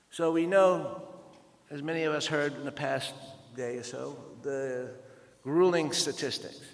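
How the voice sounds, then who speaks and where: A man with a Dutch accent giving a conference presentation, measured and pausing often with fillers. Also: medium pitch, vocal fry. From Netherlands. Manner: measured, with frequent pauses and fillers. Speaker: conference speaker. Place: large conference hall. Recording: reverberant conference hall.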